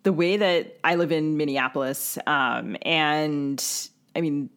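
A woman co-hosting a conversational interview podcast, thinking aloud with halting, restarted sentences. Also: deep voice, fairly bright tone. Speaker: podcast co-host.

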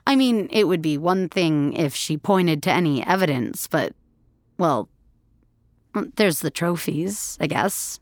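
A woman's voice: low voice, expressive, slow pace, dry recording, clean studio sound, full character voice. A woman narrator voicing a guarded, politely nervous young woman in an audio drama, speaking hesitantly.

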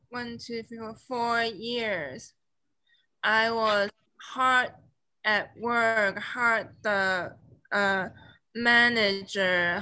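A woman whose first language is Cantonese speaks in English in slow, halting phrases. Her voice is bright and a little tense. She often stops to search for words and rebuilds her sentences as she goes. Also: on the high side. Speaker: interview guest. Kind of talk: casual conversation.

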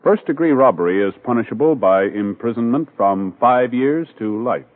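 A man's voice: medium pitch, lively intonation, moderate pace. A man announcing in formal, scripted 1950s radio style. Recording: tinny 1950s radio recording. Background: faint music.

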